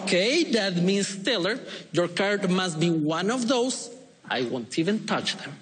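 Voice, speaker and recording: A man from Ecuador with a Latin American Spanish accent, speaking as a stage magician to volunteers, deliberate and polite with playful, pointed pauses. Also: high voice, lively intonation, a bit bright. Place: TV show stage in a large hall. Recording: echoey stage hall with noise.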